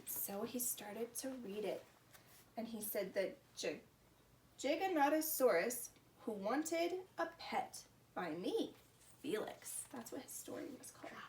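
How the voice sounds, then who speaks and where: A woman hosting a story time for young children, talking in simple, animated, exclaiming phrases. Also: a little high, measured pace. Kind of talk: expressive read-aloud to preschool children. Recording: roomy echo, distant mic, background noise.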